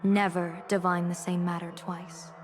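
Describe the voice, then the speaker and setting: A woman voice acting a shrewd, composed general and diviner in a game cutscene, speaking in a measured, formal, theatrical way. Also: fairly low pitch, level tone, faint music behind, natural voice. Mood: grave, composed. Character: shrewd, composed female general and diviner, secretly gambling against gods.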